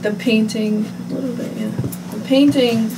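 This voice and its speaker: A woman artist as a guest on a podcast, easygoing and quick to laugh, thinking aloud as she explains her work. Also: on the high side, steady tone, far from the mic, noisy background. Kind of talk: casual interview chat. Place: podcast studio. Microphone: set back from a studio mic.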